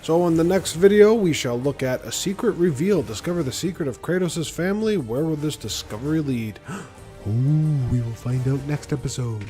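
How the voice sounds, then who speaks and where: A man's voice: on the high side, lively intonation, normal speed, warm tone. A man hosting a gaming video with casual, chatty playthrough commentary. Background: faint game music.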